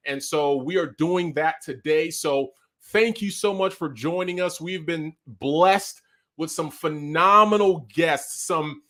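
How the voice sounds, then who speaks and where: A man with a Nigerian accent hosting a live online talk show, energetic and hyped, over a video call mic. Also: on the high side, takes it slowly. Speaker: host.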